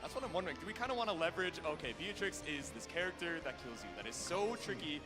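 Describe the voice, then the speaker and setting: A man's voice: a little high, lively intonation, fast talker, over loud music. A man giving live play-by-play and analysis as a commentator at an esports tournament. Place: event stage with audience, heard through a stream.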